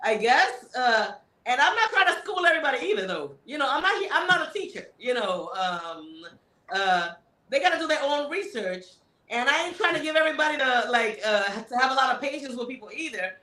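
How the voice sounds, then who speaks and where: A woman with a Miami accent speaks on a panel at a fairly high pitch. She rambles in an animated way, with plenty of fillers and trailing asides. Her voice rings out in an echoey room. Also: brisk. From United States. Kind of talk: casual panel discussion. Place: indoor panel event.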